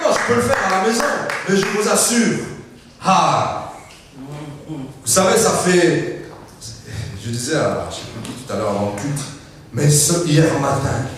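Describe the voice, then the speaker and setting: A French-speaking man preaching a Pentecostal sermon, with emphatic call-and-response, frequent hallelujahs, and quiet joking asides. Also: normal speed, a little hiss or hum. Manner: lively pitch swings, drops to quiet asides and jokes. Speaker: pastor. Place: large church hall. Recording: very echoey hall, mic at a distance.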